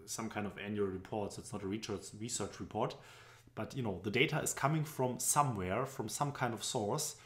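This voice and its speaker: A young man with a German accent talks through slides, explaining patiently and filling pauses with little hesitations. His voice sits on the low side. The room around him rings with a noticeable echo. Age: young adult. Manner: explanatory, loosely phrased with frequent fillers. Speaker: business presentation instructor. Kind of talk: screen-recorded tutorial narration. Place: indoor room at home. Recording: echoey room.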